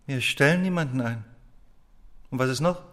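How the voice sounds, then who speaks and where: An actor in a German radio play playing an imperious personnel boss, brusque and officious. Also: male voice, mid-range voice, normal speed, a bit bright, close to an everyday voice. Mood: brusque, dismissive. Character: curt, imperious male department store personnel boss.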